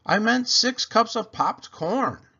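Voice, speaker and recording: Man doing a bossy busy household lady from a kids story, pitched way up, drawn out words. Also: lively intonation, slow, pushed and bright, muffled recording, full character voice. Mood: exasperated.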